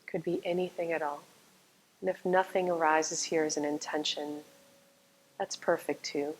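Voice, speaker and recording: Woman, meditation teacher, low pitch, very even intonation, calm and soothing, guided relaxation with long pauses. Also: a little hiss or hum, low-quality recording. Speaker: yoga nidra meditation teacher.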